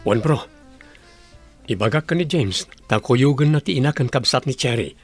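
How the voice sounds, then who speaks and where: A man with an Ilocano accent and a slightly husky voice, acting an earnest, humble young village suitor in a radio drama. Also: mid-range voice, animated, moderate pace, no room sound, soft background music. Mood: casual.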